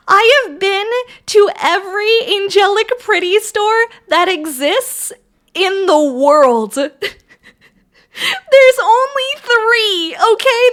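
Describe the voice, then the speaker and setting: Woman streamer, casual and laugh-prone, loose with swearing, slightly raspy from allergies, with a very high voice. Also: fairly bright tone. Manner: laughs often, swears freely, reads chat aloud. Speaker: livestreamer. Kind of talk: casual livestream chat, answering viewers.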